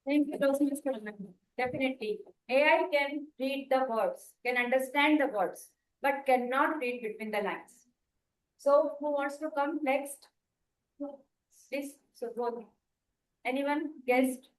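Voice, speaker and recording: A woman leading the impromptu speaking segment at a club meeting, briskly encouraging people and calling on the next speaker. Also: high-pitched, recorded from a distance. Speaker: table topics master. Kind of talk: meeting hosting. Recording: roomy sound from off mic.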